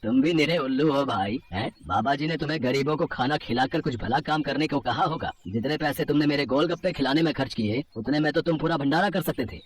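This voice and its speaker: A man's voice: fairly high pitch, a little fast, few pauses, a little hiss or hum, close to an everyday voice. Man playing a grumbling greedy male street vendor. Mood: gruff, scoffing. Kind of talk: animated Hindi moral story dialogue.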